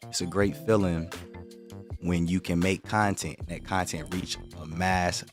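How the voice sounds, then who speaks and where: A man's voice: sits low, steady tone, a little slow, music in the background. A man hosting a livestream, chatting casually with viewers and explaining his points in a direct, slangy way. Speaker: livestream host.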